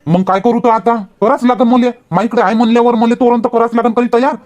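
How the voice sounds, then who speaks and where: A man's voice: very high voice, flat delivery, fast, a little mellow. A man voicing a grumbling village farmer and family man in a cartoon comedy, with a rural Vidarbha Marathi accent. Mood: harried.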